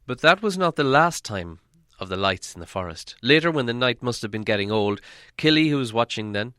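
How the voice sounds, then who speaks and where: A man narrating a storybook from a script in a dramatic, expressive storytelling manner. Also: medium pitch, brisk, clear, resonant tone, no room sound, clean studio sound. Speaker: audiobook narrator.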